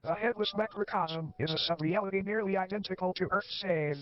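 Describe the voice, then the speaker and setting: An actor playing a wry, eerie male narrator, reading a scripted horror documentary in a measured, formal way. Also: sits high, steady tone, natural voice. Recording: band-limited lo-fi sound, loud music behind. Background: music.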